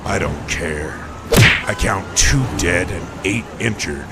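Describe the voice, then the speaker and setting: Brooding masked vigilante, very low voice, terse and grave, animated pitch movement, audio drama monologue. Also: male voice, takes it slowly, very noisy, heavily acted. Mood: grim. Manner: terse, grave, hard-boiled. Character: grim brooding male vigilante detective, inner monologue. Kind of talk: comic-book audio drama narration.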